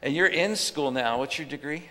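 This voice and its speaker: A man's voice: fairly high pitch, normal speed, pushed and bright. An older man hosting a casual stage interview as pastor, chatty and teasing his guests. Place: church stage before an audience. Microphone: handheld mic. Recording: church hall reverb with audience.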